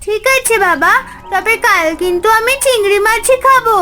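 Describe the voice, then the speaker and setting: Casting: pleading cartoon small girl, extremely high childlike whine, music underneath. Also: moderate pace. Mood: grudging. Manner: whiny, insistent, childlike. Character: whining little village girl craving a treat. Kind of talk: cartoon fairy-tale dialogue acting.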